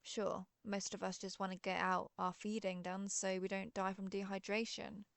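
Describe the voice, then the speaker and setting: Creaky, genteel vampire lady, acted by a woman. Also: mid-range voice, level tone, normal speed, dry recording. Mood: mildly exasperated. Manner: genteel, conversational, apologetic, gently wry. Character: friendly, chatty, polite female vampire, centuries old, mildly exasperated. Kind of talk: scripted roleplay audio, one side of an interview.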